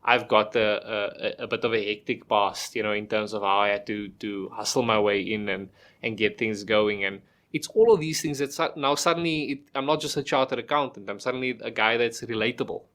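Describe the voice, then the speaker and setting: A man's voice: lowish voice, brisk, a bit bright. A middle-aged man, a podcast guest, talking casually and explaining with everyday examples, restarting phrases and asking rhetorical questions. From South Africa.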